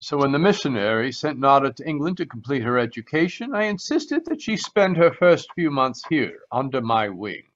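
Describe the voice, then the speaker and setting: A man playing a proud, haunted retired colonel in a radio mystery, formal and excitable, heard over a video call. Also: mid-range voice, normal speed, hardly any pauses, close to an everyday voice. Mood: proud, cordial. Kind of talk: 1940s radio mystery acting. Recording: dull, lo-fi video call audio.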